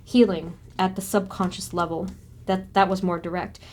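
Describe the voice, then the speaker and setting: A woman reading tarot cards for her viewers, talking softly and haltingly with many pauses and restarts. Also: lowish voice, level tone, slight background noise. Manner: soft, halting, with frequent ums and restarts. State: voice briefly going hoarse and quiet. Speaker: tarot reader. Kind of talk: pick-a-card tarot reading.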